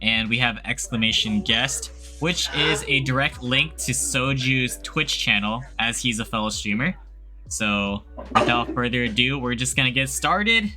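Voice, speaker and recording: A man hosting a casual livestream podcast, speaking in a relaxed way with friendly slang. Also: mid-range voice, unhurried, over music. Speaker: podcast host.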